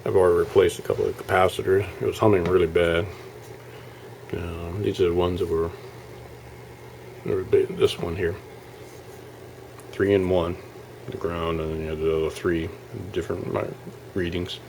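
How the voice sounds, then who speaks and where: A man giving a casual, halting walkthrough as a hobbyist showing his restoration work, thinking aloud as he goes. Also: sits low, little pitch movement, darkish tone, a little hiss or hum, poor audio. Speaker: hobbyist radio restorer presenting his project. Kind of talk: casual informal video walkthrough.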